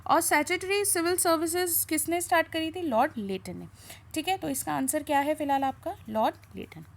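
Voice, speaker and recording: High-pitched Indian woman teaching a lesson. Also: fairly quick, gravelly. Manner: asks questions then answers them, with frequent check-in tags. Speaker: teacher presenting an exam question revision class. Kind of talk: question-and-answer lesson to online students.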